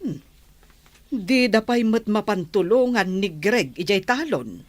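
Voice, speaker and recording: A woman playing a nosy Ilocano villager in a radio comedy. Her mid-pitched voice swings widely as she prods, recorded dry and close. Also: expressive, measured pace, dry recording, lightly acted. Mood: prodding.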